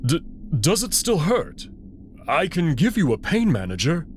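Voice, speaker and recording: A man voicing an awkward, evasive male alien wolf who talks haltingly in a visual novel. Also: fairly high pitch, wildly swooping pitch, slight background noise. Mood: hesitant, concerned. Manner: hesitant, halting.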